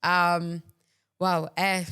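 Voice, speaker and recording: Kenyan woman co-host, lowish voice, playful and giggly, code-switching banter. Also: level tone, drawn-out words, pushed and bright, smooth. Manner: playful, giggly, mixes English with Swahili slang. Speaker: podcast co-host. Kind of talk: casual football podcast chat.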